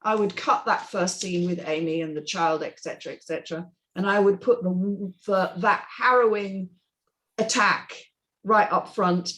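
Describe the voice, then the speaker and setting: A woman's voice: medium pitch, normal speed, fairly bright tone, low-quality recording. A woman on a script reading panel giving an unscripted, emphatic and blunt critique in discussion.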